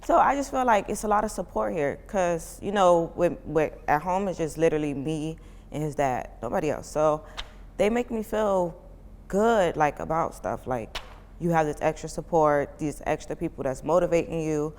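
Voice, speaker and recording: A woman's voice: low-pitched, normal speed, in a large hall. A woman in her twenties from Miami, a parent giving casual interview answers in a chatty way, full of "like" fillers. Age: 20s. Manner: chatty, many "like" fillers, relaxed informal speech.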